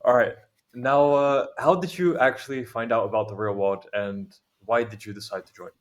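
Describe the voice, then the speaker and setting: A man's voice: medium pitch, steady tone, moderate pace. Upbeat male interviewer. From Lithuania. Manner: friendly, upbeat questioning with fillers. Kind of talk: casual interview questions.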